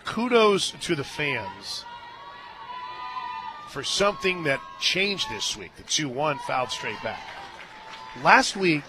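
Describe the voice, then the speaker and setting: A man's voice: fairly high pitch, very animated, slow pace, long pauses, music in the background, a crowd in the background, poor audio. An American man working as a radio play-by-play announcer, calling a live game with conversational, folksy asides and emphatic delivery. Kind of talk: live sports commentary with chatty asides. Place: stadium radio booth.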